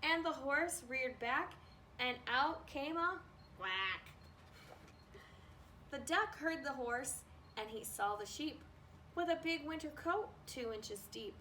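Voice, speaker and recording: A woman reads a children's story aloud in a high, very bright voice. She takes her time and is expressive with the text. She sounds a little way from the microphone, with faint noise behind her. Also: slow, long pauses. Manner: expressive, acts out animal noises. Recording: distant mic with faint background noise.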